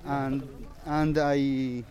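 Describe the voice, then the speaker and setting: Refugee interviewee: young Syrian man, Arabic accent, halting English, recorded outdoors on a country road. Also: mid-range voice, little pitch movement, darkish tone, highs cut off. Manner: halting broken English, searching for words. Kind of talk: field interview. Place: outdoors on a dirt road between farm fields.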